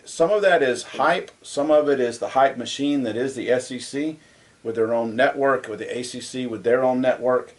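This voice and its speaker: A man in the middle of the male pitch range, co-hosting a college sports podcast. He debates in a casual, rambling way. When something irks him, his voice climbs and gets louder. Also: normal speed. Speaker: co-host.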